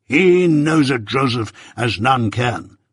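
A man voicing a pompous, self-righteous older merchant who lectures slowly and loudly in a raised, husky voice. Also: mid-range voice, expressive, dry recording, clean studio sound. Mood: lecturing. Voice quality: pompous, preaching, drawn-out emphasis.

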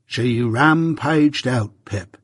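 A man voicing a slow, gentle and simple village blacksmith who speaks mildly and plainly. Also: medium pitch, slightly rough, no echo, studio quality. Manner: slow, mild and plain-spoken.

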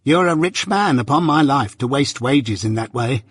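Audiobook shrew, played by a male reader: high-pitched scolding housewife, histrionic, shrieking, forever in a rage. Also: lively intonation, moderate pace, breathy edge, dry recording, studio quality. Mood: scornful rage. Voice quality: shrill, shrieking. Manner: histrionic, berating. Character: shrill, scolding, furious married woman, theatrically hysterical shrew.

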